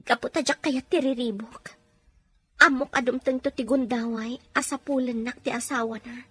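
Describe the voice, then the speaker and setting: A scheming, bossy secretary in an Ilocano radio drama. The voice is high and a little husky, pressing every point dramatically. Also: female voice, steady tone, moderate pace, fairly bright tone, dry recording. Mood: insistent, scheming. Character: scheming, insistent woman secretary, plotting and bossy.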